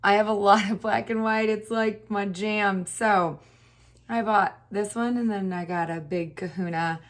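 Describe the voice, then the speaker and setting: A woman with a hoarse, partly lost voice, chatting casually and laughing at herself while doing her makeup on camera. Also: medium pitch, fairly even intonation, a little slow. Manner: chatty, self-deprecating, laughs often. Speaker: beauty YouTuber. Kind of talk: casual get-ready-with-me chat.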